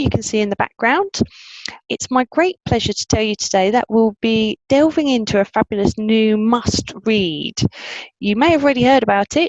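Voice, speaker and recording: A woman's voice: a little high, no echo. A woman hosting a book interview, on a dull, lo-fi recording. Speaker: interview host. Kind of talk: friendly structured book interview. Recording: dull, dry, lo-fi sound.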